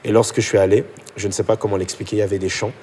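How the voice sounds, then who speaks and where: Fast-talking man telling his testimony. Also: slight background noise. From Portugal. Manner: fast, animated storytelling, drops low and soft at intimate moments. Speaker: pastor. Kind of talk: personal testimony in a podcast chat.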